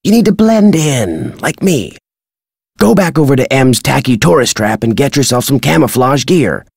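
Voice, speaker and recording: Gruff old american bird watcher, game character, folksy, husky, super dry close recording. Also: male voice, mid-range voice, lively intonation, normal speed, no echo. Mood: crotchety, gruff. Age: older. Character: crotchety retired male birdwatcher, folksy and gruff. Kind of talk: video game character dialogue.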